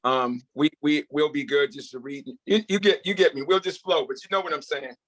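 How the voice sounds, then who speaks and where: A man with a slightly high voice talks casually over a video call. His phrasing is colloquial and loose, and he likes to check he is being understood. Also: fairly even intonation, a little fast, a bit bright. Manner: colloquial, checks listener follows. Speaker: table read participant. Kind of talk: casual meeting chat.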